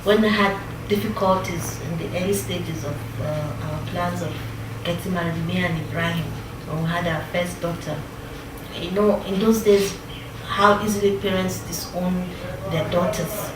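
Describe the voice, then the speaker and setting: Low-voiced Nigerian woman in her fifties. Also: fairly even intonation. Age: 50s. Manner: reflective, unhurried, with many pauses and fillers. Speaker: interviewee. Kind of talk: personal life story in an interview. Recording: roomy, distant mic with background noise.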